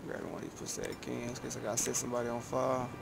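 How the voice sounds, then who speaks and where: A man's voice: mid-range voice, monotone, racing, gravelly, background noise. A man chatting casually on a gameplay livestream in slangy, profane language. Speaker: game streamer. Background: game ambience, vehicle sounds.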